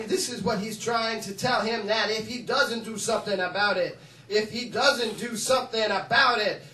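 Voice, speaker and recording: A fiery political orator, acted by a male comedian, speaks in a high voice with emphatic, repetitive rhetoric. The sound is sharp, echoey and set back from the mic, as in a comedy monologue. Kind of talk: mock political oratory from a film. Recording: roomy, distant mic, faint noise.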